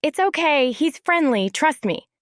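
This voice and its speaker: A woman voicing an eager, polite teenage girl in an audio story, earnest and eager to smooth things over. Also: high voice, lively intonation, measured pace, dry recording, studio quality. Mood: nervous, placating. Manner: earnest, placating. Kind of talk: scripted audio story character.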